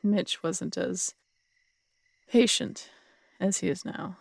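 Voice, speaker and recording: Actress for female city cop in audio drama: tough, wry, low-key storyteller, raspy voice, very dry intimate sound. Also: no room sound, full character voice. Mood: reflective. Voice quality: husky, gravelly voice. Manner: wry, unhurried storytelling with casual swearing. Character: tough, strong-headed woman city detective, wry and fond. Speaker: voice actor in an audio roleplay.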